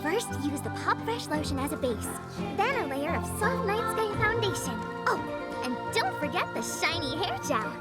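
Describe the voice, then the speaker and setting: A bubbly, scatterbrained young makeup inventor from a video game. Her voice is extremely high and swings around excitedly, with prominent music playing behind it. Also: normal speed. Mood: cheerful, affectionate. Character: cheerful, scatterbrained young female potion and makeup maker. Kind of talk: video game character acting.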